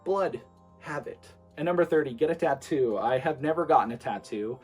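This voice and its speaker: A man in his late twenties, a streamer, talking casually to his viewers in a relaxed, rambling way with many fillers. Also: mid-range voice, moderate pace, slightly rough, soft background music. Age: 20s. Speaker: streamer and vlogger. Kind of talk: casual talk to camera.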